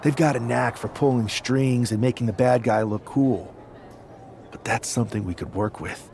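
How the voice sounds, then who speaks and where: A man's voice: medium pitch, normal speed, gravelly, a little mellow, a little hiss or hum, natural voice. A man playing an ambitious newcomer pro wrestler and scheming rebel in a video game cutscene, speaking earnestly and with emphasis.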